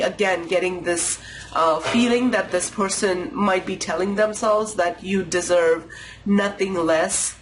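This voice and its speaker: A tarot reader giving a pick-a-card reading, voice nasal and a bit raspy from a cold, musing slowly with pauses. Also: mid-range voice, a little hiss or hum, rough recording. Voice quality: slightly raspy. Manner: unhurried, intuitive musing with pauses.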